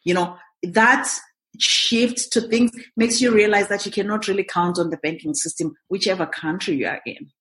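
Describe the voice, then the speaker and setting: A woman from Zimbabwe speaks as a podcast guest, her voice sitting in an ordinary middle pitch. She tells her story with energy, slipping into quoted thoughts, with small fillers between phrases. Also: moderate pace, a bit bright, slight background noise. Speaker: guest, real estate investor. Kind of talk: interview answers.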